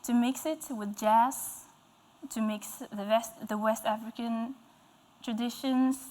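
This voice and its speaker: Young female musician, Norwegian accent, slightly high voice, slow searching delivery for an interview guest spot. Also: fairly even intonation, mellow. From Norway, west coast. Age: young adult. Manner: hesitant, searching for words. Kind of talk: interview answers. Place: indoor stage with dark curtains.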